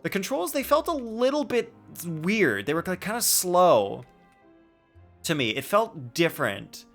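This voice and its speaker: A man's voice: high voice, sing-song, unhurried, fairly bright tone, soft background music. A man streaming a game, chatting casually and musing aloud in a rambling way. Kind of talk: casual live-stream chat and commentary.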